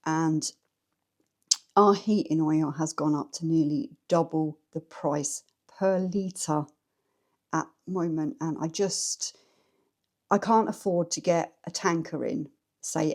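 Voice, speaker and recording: A woman with an English accent chats to her viewers from her living room. Her voice sits low and sounds mellow and relaxed. She is frank and talks to camera as if to a friend over a cuppa. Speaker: vlogger. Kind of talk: casual chat to camera. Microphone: camera set up in the room.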